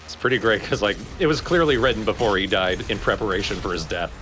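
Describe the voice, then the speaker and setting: Male co-commentator, fairly low voice, casual chat style, faint video game music underneath. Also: lively intonation, quick pace, fairly bright tone. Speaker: let's play co-commentator.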